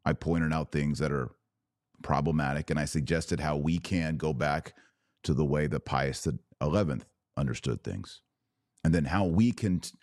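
Very deep-voiced male religious podcast host. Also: darkish tone, dry recording, clean studio sound. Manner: earnest, emphatic, preacherly, direct address. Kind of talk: live religious commentary to viewers, with exhortation and prayer.

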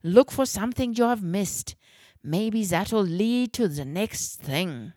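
Storybook farmer and village elder, male, played by a woman: German accent, deliberate, formal, earnest counsel, dry recording. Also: medium pitch, animated, darkish tone, no echo. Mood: earnest, advising. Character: Swiss farmer man, village official, measured and earnest.

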